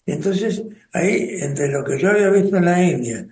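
An older man with a Rioplatense Spanish accent talks about his own past in a radio interview, slow and reflective, often pausing and starting over. His voice sits a little high for a man. The room gives it a noticeable echo. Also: expressive, a little mellow. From Argentina. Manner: slow, thoughtful, with pauses and restarts. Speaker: spiritual group coordinator and radio guest.

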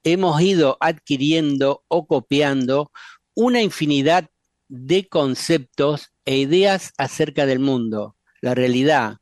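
A man who hosts a spiritual radio talk show, calmly handing the word to each guest. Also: on the high side, takes it slowly, no room sound. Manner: calm, friendly moderator passing the turn. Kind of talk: casual spiritual radio chat.

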